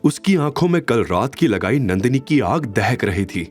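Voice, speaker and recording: Animated male story narrator. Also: medium pitch, normal speed, soft background music. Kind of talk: dramatic scripted story narration.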